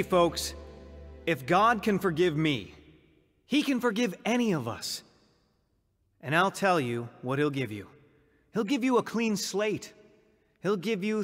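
Male, acted: earnest young convert-pastor, grateful, mid pitch, radio drama with music behind. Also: close to an everyday voice. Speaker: narrator and lead actor. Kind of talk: dramatized first-person radio testimony.

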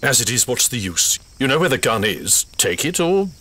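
A sneering, drunken trader. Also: male voice, medium pitch, very animated, normal speed, sharp tone.

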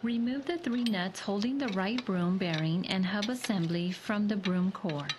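Woman doing a scripted repair voice-over, mid pitch, steady and plain, noisy backdrop. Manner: measured, even step-by-step instructions. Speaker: instructional narrator.

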